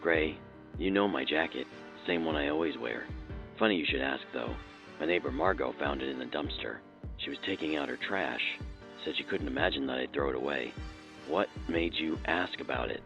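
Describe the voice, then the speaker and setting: Audio drama role: troubled man with a menacing double. Rock-bottom pitch, heavy fry, music behind. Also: animated, moderate pace, dull audio, exaggerated voice. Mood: apologetic, confused. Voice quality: gravelly, very deep. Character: troubled man named Joe, possessed by sinister alter ego.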